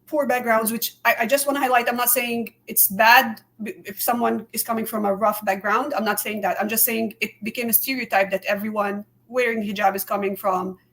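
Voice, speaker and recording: Earnest woman with a higher voice. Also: steady tone, fairly quick, a bit bright. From immigrant to Canada. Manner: earnest, repeats words and hedges often. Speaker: podcast guest. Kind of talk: candid conversational interview.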